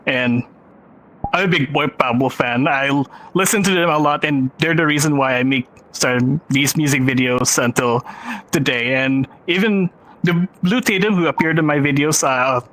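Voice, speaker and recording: A man's voice: on the high side, moderate pace, poor audio. A man with a Filipino accent, presenting at a convention panel with frequent laughs and fillers. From Philippines. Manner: giggly, relaxed, many fillers. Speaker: panel host, filmmaker.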